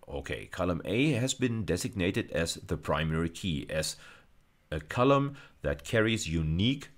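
Very deep-voiced male lecturer. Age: middle-aged. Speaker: university lecturer. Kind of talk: slide-based technical video lecture.

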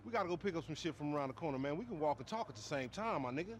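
A hyper young street hustler played by an actor, high-pitched and very animated. He is pushy and teasing in a video game scene with clear outdoor noise. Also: very fast, lightly acted.

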